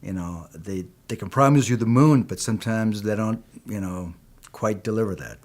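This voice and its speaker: Low-voiced Peru-born film producer. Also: male voice, darkish tone. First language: Spanish. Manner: measured, reflective, frequent "you know". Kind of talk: interview answers.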